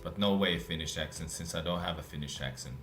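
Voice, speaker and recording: A man with a Russian accent, live streaming and chatting casually with viewers, speaking in a deliberately lazy, relaxed way. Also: very deep voice, reverberant, soft background music. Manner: intentionally lazy, relaxed way of talking.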